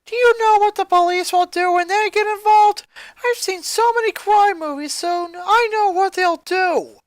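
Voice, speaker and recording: A woman's voice: very high-pitched, normal speed, no room sound, voice pushed up. A woman voicing a panicky, bossy young girl in a story reading. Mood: frantic.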